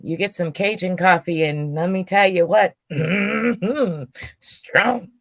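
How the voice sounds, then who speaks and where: Slow, laughing middle-aged woman. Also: fairly low pitch, gravelly, no room sound, studio quality. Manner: animated, laughing storyteller. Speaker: panelist. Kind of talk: casual live panel chat.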